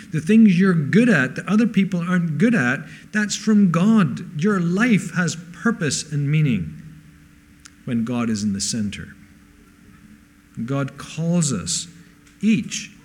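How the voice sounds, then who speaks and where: A man's voice: a little high, a little mellow. Spanish-born man preaching a sermon. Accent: mixed international accent. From Spain. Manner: rhetorical questions, engages congregation. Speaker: pastor. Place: church service.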